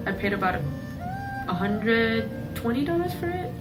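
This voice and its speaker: A woman's voice: a little high, very animated, moderate pace. A woman in her twenties answering interview questions casually and hesitantly, with many fillers. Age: 20s. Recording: distant mic with music underneath. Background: music.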